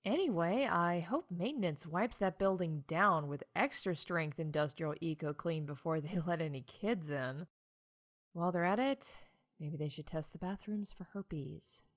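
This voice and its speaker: A woman's voice: lowish voice, moderate pace, lightly acted. Wry, snarky woman acting as female theme park announcer. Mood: wry, distracted. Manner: wry, sarcastic, breaking off into asides. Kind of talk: comedic scripted announcements with candid asides.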